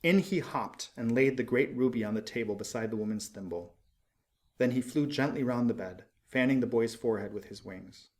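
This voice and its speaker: A man reading a storybook aloud as scripted narration, in an expressive, measured storytelling manner. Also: mid-range voice. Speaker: audiobook reader.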